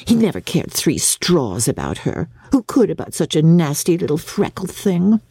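A woman's voice: low voice, very animated, normal speed, mellow, husky, no echo, full character voice. A woman voicing a giddy, gossipy, careless teenage girl in an audiobook, gushing and chattering. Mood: gossipy, triumphant.

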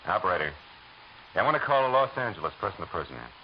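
Hard-boiled private eye, low lively voice, quick, hissy old radio broadcast. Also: male voice, muffled recording. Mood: tough. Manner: clipped, dry, wry narration. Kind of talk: 1940s radio drama acting.

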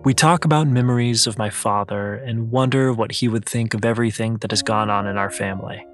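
A man's voice: lowish voice, fairly quick, fairly bright tone. A man reading a scripted first-person personal essay as narrator. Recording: music behind the voice. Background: music.

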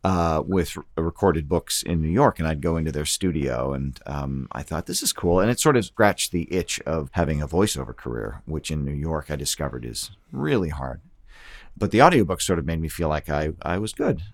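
A middle-aged man with a very low voice, talking casually as an actor being interviewed. He has a joking, self-deprecating way about him, and the sound is close and dry. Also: dry recording. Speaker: guest, actor and audiobook narrator.

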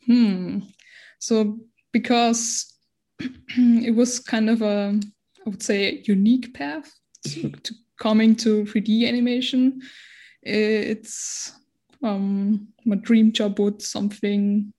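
Young Austrian woman, non-native English, interview guest; measured, halting, level delivery. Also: medium pitch, fairly even intonation, dark tone. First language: not English. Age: 20s. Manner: hesitant, searching for words, many restarts. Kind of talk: casual interview conversation.

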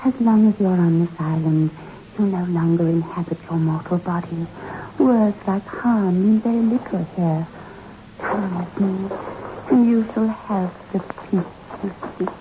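A woman playing a calm, eerie female spirit in a 1960s radio horror play, hushed, measured and solemn. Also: fairly low pitch, natural voice. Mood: soothing eerie. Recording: noisy, muffled 1960s radio recording.

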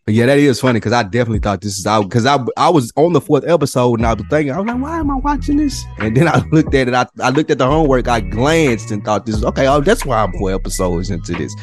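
A man's voice: medium pitch, very animated, fast talker, few pauses, breathy edge, faint music behind. A man co-hosting a podcast, chatting casually with blunt, slangy, profane phrasing. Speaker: podcast co-host. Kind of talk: casual chat.

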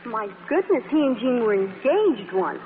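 A woman's voice: a little high, big pitch swings, normal speed. An actress in a 1940s radio play, playing a shy, wistful, eager young small-town woman with a girlish, earnest manner. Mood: confiding. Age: young adult. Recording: tinny, reverberant, crackly old radio recording.

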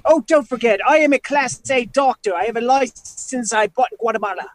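A woman's voice: a little high, fairly quick, dry recording. A woman co-hosting a radio talk show, bubbly and jokey, often slipping into goofy comedy bits. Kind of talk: playful comedic banter.

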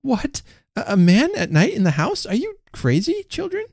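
A man's voice: on the high side, animated, normal speed, vocal fry, warm tone, no room sound, studio quality, voice pushed up. A man plays a storybook mother in a voice lifted well above his own. She is incredulous but affectionate, full of exclamations and questions, over a bed of music. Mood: startled. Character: calm mother, startled and incredulous, affectionate with her children.

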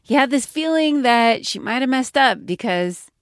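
A woman hosting a podcast, narrating in a clear, measured, conversational way. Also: on the high side, expressive, dry recording, studio quality. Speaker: podcast host. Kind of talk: scripted narration and interviewing.

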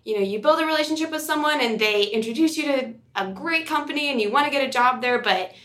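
A woman's voice: high-pitched, fast, a bit bright. A woman co-hosting a podcast, chatting in an earnest, emphatic and conversational way. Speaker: podcast co-host.

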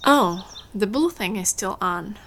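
An actress playing an affectionate, gently teasing middle-aged farm wife in an audio drama. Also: female voice, mid-range voice, animated, a little slow. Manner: fond, wry.

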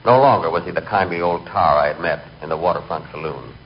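An actor playing a nervous, hapless middle-aged man who tells his misadventures with deadpan earnestness in a 1940s radio comedy. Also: low voice, fast talker, natural voice. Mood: storytelling. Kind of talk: 1940s radio comic monologue. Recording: dull, noisy 1940s radio recording.

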